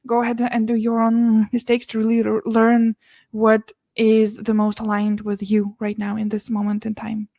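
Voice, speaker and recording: A young woman who grew up in Russia and speaks English as a second language, her voice sitting a little high. She talks earnestly in a relaxed interview, often restarting words and laughing softly between them. The sound is close and dry, with no room around it. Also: moderate pace, no room sound. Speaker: podcast guest, business and mindset mentor. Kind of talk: casual interview conversation.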